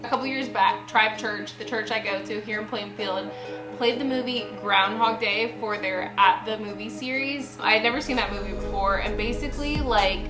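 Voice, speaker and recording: A woman's voice: medium pitch, moderate pace, rough recording. A woman in her late twenties talking in a chatty, upbeat way in a casual personal vlog, often laughing as she tells her story. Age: 20s. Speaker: vlogger. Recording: music bed under the voice.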